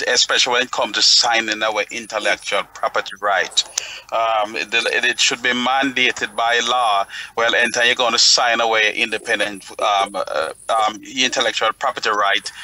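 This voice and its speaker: Male panelist, piercingly bright and emphatic, argues his points over a slightly noisy radio-like line. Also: medium pitch. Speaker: talk show panelist. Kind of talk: opinionated explanatory panel talk.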